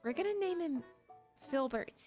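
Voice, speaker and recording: A young woman with a fairly high voice chats casually with her livestream viewers, giggling often as she talks. She speaks into a desk microphone, and faint game music plays underneath. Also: animated, normal speed, a little mellow. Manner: giggly, chatty, talks to chat. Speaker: streamer.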